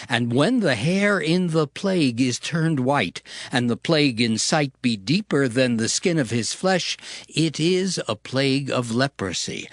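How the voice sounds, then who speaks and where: A man playing God as a solemn, commanding lawgiver in a dramatized scripture reading, with measured, declamatory delivery. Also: medium pitch, no echo, studio quality, natural voice. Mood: solemn, instructive. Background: music.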